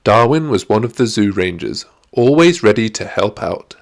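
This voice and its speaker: A man's voice: on the low side, animated, moderate pace, creaky. A man reading a scripted children's story as an audiobook narrator.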